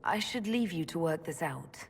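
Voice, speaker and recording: An actress playing a composed, sympathetic female elf mage hero in a video game, speaking in a measured, restrained way. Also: deep voice, lively intonation, vocal fry, long reverb tail. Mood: diplomatic. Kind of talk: video game dialogue acting.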